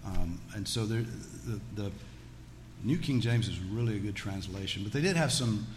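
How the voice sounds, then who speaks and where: A man's voice: fairly low pitch, animated, normal speed. A man teaching scripture to an audience in a folksy, conversational way, with joking asides. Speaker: Bible teacher. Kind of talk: religious teaching with audience questions. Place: large echoey room with an audience. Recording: echoey hall with background noise.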